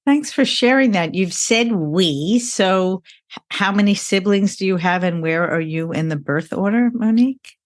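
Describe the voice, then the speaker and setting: A woman's voice: mid-range voice, expressive, measured pace. A woman hosting an interview podcast, friendly and encouraging toward her guest. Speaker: podcast host, financial planner.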